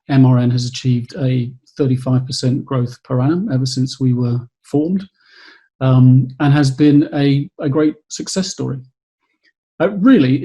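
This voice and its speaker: A man with a gravelly, husky voice and a mellow, darker tone. He explains his company's work in a measured way, pausing often and repeating words. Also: mid-range voice. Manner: measured, with frequent hesitations and repeats. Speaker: company executive. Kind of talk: webcast pitch.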